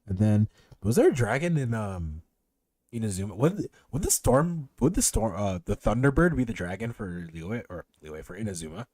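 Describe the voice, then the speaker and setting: A man's voice: lowish voice, very animated, normal speed, mellow, no room sound. A man streaming a video game with casual commentary, rambling and swearing as he thinks aloud.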